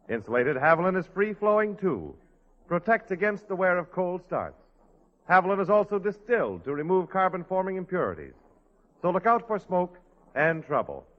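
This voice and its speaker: A man announcing on a 1940s radio show in a scripted, period broadcast style. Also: high voice. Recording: muffled vintage radio, long hall reverb.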